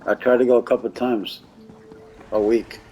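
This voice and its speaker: A man's voice: medium pitch, level tone, normal speed, darkish tone, a little hiss or hum, rough recording. A man chatting casually in an informal, conversational way. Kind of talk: casual chat.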